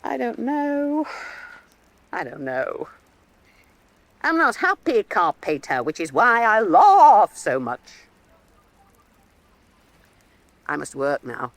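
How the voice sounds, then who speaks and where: Woman painter, blunt, dry, dismissive, lively range, dry close sound, historical radio drama. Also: medium pitch, slow pace, pauses often, dry recording. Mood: restless, unhappy. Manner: terse, dismissive, dry retorts. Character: blunt, sardonic, defiant woman painter of Renaissance Venice.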